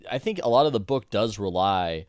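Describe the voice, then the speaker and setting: A man's voice: fairly low pitch, lively intonation, brisk, no room sound, clean studio sound. A man, an author guest on a podcast, talking casually in a rambling way with many restarts and self-corrections.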